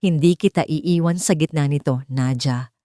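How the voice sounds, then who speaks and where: A woman's voice: low-pitched, normal speed, dark tone, dry recording, clean studio sound, lightly acted. A woman with a Filipino accent voicing a protective, authoritative wealthy man in a romantic story. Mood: steady, protective. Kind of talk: romantic audio drama storytelling.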